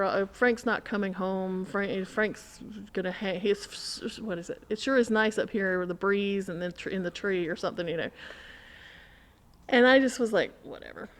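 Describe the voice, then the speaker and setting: A woman's voice: medium pitch, moderate pace. A woman in a casual podcast conversation, telling an anecdote and acting out quoted remarks as she goes.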